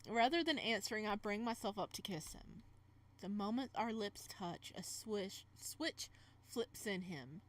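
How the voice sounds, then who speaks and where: Woman voicing a male romance-game hero, flustered, mid-pitched, dramatic first-person visual novel narration. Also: lively intonation, measured pace, lightly acted. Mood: hushed, romantic.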